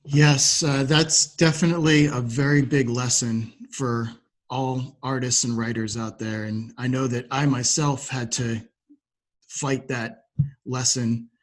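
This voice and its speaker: A man hosting a conversational interview, agreeable and measured, with slightly hesitant phrasing. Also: medium pitch, steady tone. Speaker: podcast host.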